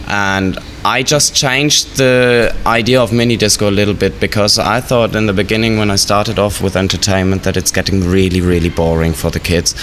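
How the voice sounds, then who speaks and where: Chatty low-voiced guy who runs hotel entertainment, hotel bar murmur behind him. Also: fairly bright tone, slight background noise. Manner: chatty, easygoing, quick to laugh. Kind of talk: interview answers. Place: hot humid hotel bar.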